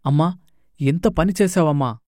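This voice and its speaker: A man's voice: on the high side, normal speed, darkish tone, dry recording, clean studio sound, lightly acted. A man playing an earnest, firm young husband who is protective of his family in a cartoon moral story. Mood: reproachful.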